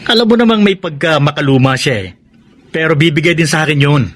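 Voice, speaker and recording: A man's voice: on the high side, expressive, fast talker, fairly bright tone. An actor playing a cocky, conceited young ladies' man in a Filipino radio drama, boastful and insistent in a Filipino accent. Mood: smug, boastful.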